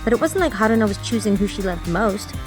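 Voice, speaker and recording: A woman's voice: mid-range voice, expressive, fast talker. A woman reading scripted story narration as an audiobook narrator, with background music. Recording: music behind the voice.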